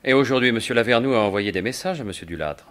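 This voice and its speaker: An actor playing a commanding, inquisitive gentleman sleuth in a French radio mystery, polite and assured. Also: mid-range voice, expressive, fast, close to an everyday voice. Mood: polite, insistent. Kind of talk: 1950s-style French radio mystery acting.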